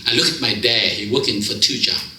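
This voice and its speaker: A man's voice: on the low side, a little fast, bright, forceful tone, echoey room, slight background noise, low-quality recording. A man in his fifties from Vietnam with a Vietnamese accent, telling his life story at a men's fellowship in broken English, with jokes. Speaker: guest speaker. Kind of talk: personal testimony speech. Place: church fellowship hall.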